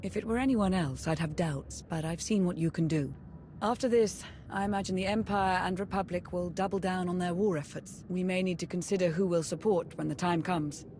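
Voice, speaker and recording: Actress as loyal game adviser, composed, slightly low pitch, noticeable background ambience. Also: female voice, a little fast, a little mellow, a little hiss or hum, close to an everyday voice. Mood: measured, loyal. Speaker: adviser to the commander. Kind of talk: dramatic video game acting.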